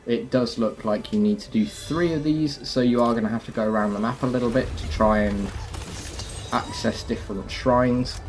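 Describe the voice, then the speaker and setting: A man's voice: medium pitch, moderate pace, faint music behind. A man hosting a gaming video, giving a casual walkthrough and explaining each step directly to viewers. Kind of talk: casual game walkthrough commentary.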